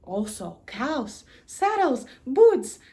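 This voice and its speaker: A woman's voice: sits high, sing-song, drawn-out words, dark tone. Earnest Russian-accented woman telling her story. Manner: earnest, lists in rhythmic emphatic beats. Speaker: university administrator. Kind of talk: prepared personal story talk.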